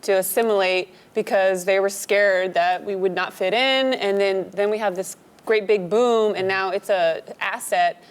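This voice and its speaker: A woman's voice: mid-range voice, steady tone, measured pace, clear, resonant tone. A woman comedian whose first language is Spanish, talking earnestly on a comedy panel.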